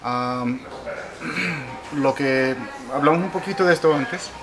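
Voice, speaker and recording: A man from asks questions in a casual interview, musing aloud and restarting his sentences. His voice is of ordinary male pitch. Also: slow pace. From Puerto Rico. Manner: reflective, thinking aloud with restarts.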